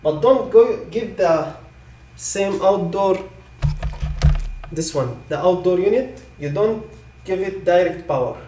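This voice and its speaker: A man who is a non-native English speaker giving live technical training, repeating his points reassuringly and often checking with a quick okay. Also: high-pitched, animated, warm tone, slight background noise. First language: not English. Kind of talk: live online product training. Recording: echoey room, distant microphone.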